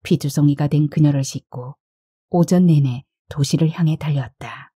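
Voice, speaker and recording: A woman's voice: sits low, normal speed, dark tone, breathy edge, no room sound, studio quality. A woman narrating a scripted literary short story as an audiobook reader.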